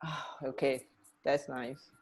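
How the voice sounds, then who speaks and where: Slow-talking woman in casual radio chat. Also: very deep voice, flat delivery. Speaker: radio co-host.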